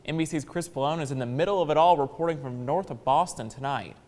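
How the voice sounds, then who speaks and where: A man's voice: fairly high pitch, fast talker, darkish tone, long reverb tail. A man with an American accent reading scripted news as a television anchor. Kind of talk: scripted newscast delivery.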